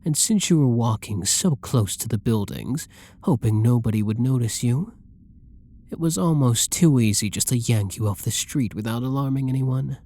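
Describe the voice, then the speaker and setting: A man playing a flirty, menacing masked villain in an intimate ASMR roleplay, breathy and husky, taunting and commanding in a condescending way. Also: mid-range voice, lively intonation, moderate pace, mellow. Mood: teasing, smug. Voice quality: breathy, husky, often near a whisper.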